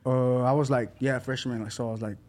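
A man's voice: medium pitch, steady tone, quick pace, darkish tone. An eighteen-year-old man who raps, chatting casually in slang with lots of fillers. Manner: laid-back street slang, lots of fillers. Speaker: rapper. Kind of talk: casual interview chat.

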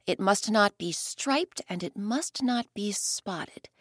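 A woman voicing a wise, witty village woman in a storybook tale, calm and measured. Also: medium pitch, no echo, clean studio sound. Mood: pondering.

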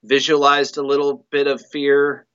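Bright-voiced man on a dull lo-fi line. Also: medium pitch, completely flat delivery, moderate pace, clean tone. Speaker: guest, audio play writer and director. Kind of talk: casual reminiscing interview chat.

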